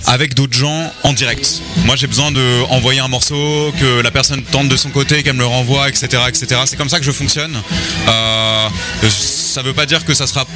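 A man's voice: medium pitch, fairly even intonation, brisk, over music. A young man being interviewed as a musician, talking casually with many fillers and restarts. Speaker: musician guest.

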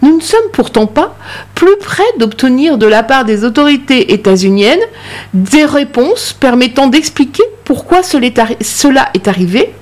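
A woman's voice: sits high, big pitch swings, moderate pace. A woman on a radio panel reading out news items and quoted statements.

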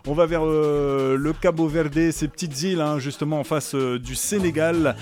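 A man's voice: fairly high pitch, fairly even intonation, normal speed. A man hosting a music radio show from a studio, chatting casually and playfully, rambling with many hesitations. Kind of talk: casual radio chat between songs.

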